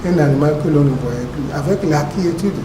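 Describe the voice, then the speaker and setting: A man's voice: on the high side, brisk. A man giving his unscripted view at a meeting. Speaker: meeting participant. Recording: distant echoey mic, vehicle-like noise.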